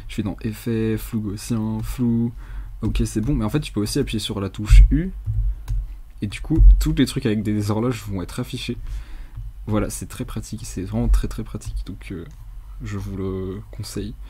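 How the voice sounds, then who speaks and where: A young man giving a casual, unscripted software tutorial in a hesitant, rambling, colloquial way, with a slightly husky voice. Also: lowish voice, fairly even intonation, moderate pace, a little hiss or hum. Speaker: tutorial presenter.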